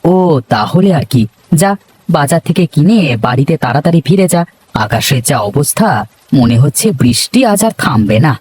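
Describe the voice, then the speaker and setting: A man voicing a worried older village father in a cartoon, with a slightly husky voice and a fretful, fatherly way of talking. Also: fairly high pitch, animated, normal speed, darkish tone, no echo. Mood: friendly. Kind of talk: cartoon voice acting.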